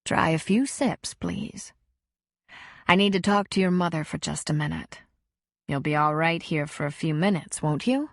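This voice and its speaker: A woman voicing a calm, patient counselor who asks gentle, probing questions. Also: deep voice, moderate pace, dry recording, studio quality. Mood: caring.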